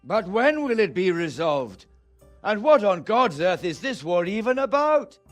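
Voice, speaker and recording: A worried town priest. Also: male voice, sits high, big pitch swings, unhurried, faint music behind. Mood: uneasy. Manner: uneasy, hesitant questioning. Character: worried male town priest, plain-spoken. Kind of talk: video game cutscene acting.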